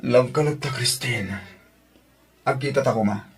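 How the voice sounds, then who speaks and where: A man's voice: mid-range voice, a little slow, slightly rough. A man with an Ilocano Filipino accent playing a pleading male suitor in an audio drama.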